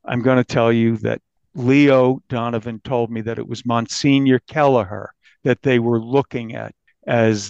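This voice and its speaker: A man's voice: medium pitch, darkish tone, clear, resonant tone, no room sound, muffled recording. An American man recounting his investigative reporting conversationally as an interviewed journalist.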